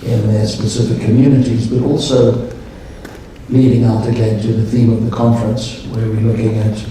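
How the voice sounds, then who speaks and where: Calm man guiding a meditation. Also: fairly low pitch, a little hiss or hum. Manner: calm, slow, measured. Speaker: meditation leader. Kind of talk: guided meditation. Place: large conference hall. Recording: echoey hall, distant mic.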